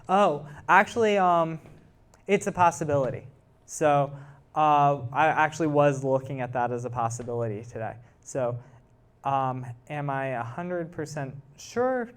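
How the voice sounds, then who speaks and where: Clear-voiced man explaining science. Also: on the high side, measured pace. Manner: explanatory, thinking aloud. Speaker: guest lecturer and researcher. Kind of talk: informal scientific Q&A after a talk.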